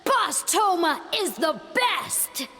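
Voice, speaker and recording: A woman voicing an excitable, earnest, childlike little creature in a video game. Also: very high-pitched, slow, in a large hall, faint music behind, heavily acted. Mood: anxious, devoted.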